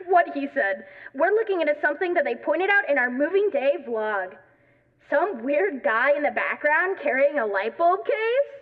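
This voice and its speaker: High-pitched actress as young female paranormal vlogger. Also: sing-song, normal speed. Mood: upbeat. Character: upbeat young female paranormal vlog host. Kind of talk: scripted vlog presenting in audio drama. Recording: lo-fi video audio with music.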